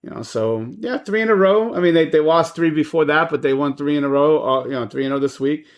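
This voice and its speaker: A man's voice: a little high, fairly quick. A man in his forties co-hosting a podcast, talking sport in an easygoing way, full of fillers. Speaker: podcast co-host. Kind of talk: casual sports chat.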